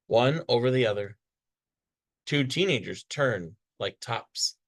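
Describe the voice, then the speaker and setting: Guy reading a kids picture book out loud, really expressive, takes his time. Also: mid-range voice. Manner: expressive, dramatic storytelling delivery. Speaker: storybook reader. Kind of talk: children's picture book read-aloud.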